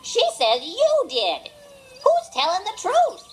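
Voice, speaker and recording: A blunt little boy who gets straight to the point, as a woman acts him out. The voice is high and lively, with faint noise in the background. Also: takes it slowly, full character voice. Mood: accusing. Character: boy pea, blunt and matter-of-fact.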